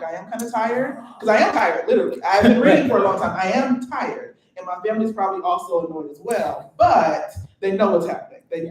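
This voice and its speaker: A woman who is a poet and teacher, speaking on a live panel, excitable and quick to laugh as she tells stories. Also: mid-range voice, a little hiss or hum. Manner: excitable, laughing, gesturing storyteller. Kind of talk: panel talk. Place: event venue, live panel. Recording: very echoey, distant from the mic.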